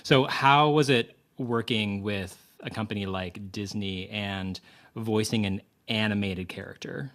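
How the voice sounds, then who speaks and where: A man hosting an interview, asking calm questions and murmuring his agreement. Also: on the low side, a little slow. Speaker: host.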